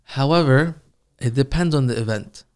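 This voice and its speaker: A man who recites the Quran, answering interview questions calmly and reflectively, sharing his own experiences. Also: medium pitch, moderate pace. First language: not Arabic. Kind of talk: casual interview.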